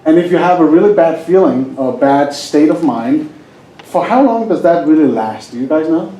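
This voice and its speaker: A man's voice: a little high, lively intonation, moderate pace, dark tone, echoey room, low-quality recording. A man from Denmark with a Danish accent, presenting a live hypnosis seminar and joking with the audience. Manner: jokey, asks the audience questions.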